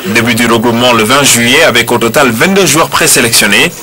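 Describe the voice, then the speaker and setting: A man reads a sports report as voice-over. His tone is bright and forceful, the read crisp and even at a middle pitch. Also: a little fast. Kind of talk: scripted news voice-over.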